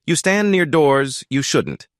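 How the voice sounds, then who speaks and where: A man voicing a cold, menacing enforcer who threatens softly. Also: a little high, sing-song, takes it slowly, no room sound, pitched above the performer's own voice. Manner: soft, threatening.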